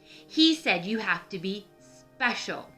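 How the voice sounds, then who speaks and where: An eager farmyard turkey from a storybook, played by a woman reading aloud. He is excitable and emphatic, with a bright tone. Faint music plays underneath. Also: mid-range voice, slow. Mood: excited. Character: eager male turkey, excitable schemer among farm friends.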